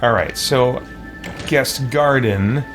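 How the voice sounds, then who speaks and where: A man's voice: medium pitch, takes it slowly, music in the background. A man casually commentating on his gameplay, thinking aloud and muttering to himself. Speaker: livestreaming gamer, let's play host.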